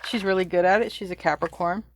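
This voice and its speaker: Chatty woman giving a low-pitched tarot reading. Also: steady tone, fast talker, noisy background. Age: middle-aged. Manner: chatty, loose, self-correcting, laughs at own slips. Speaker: tarot reader. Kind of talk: casual spiritual reading.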